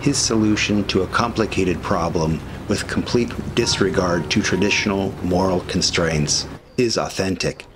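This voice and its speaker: A man reading scripted documentary narration with commentary in a measured, formal way. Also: deep voice, background noise. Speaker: history video narrator.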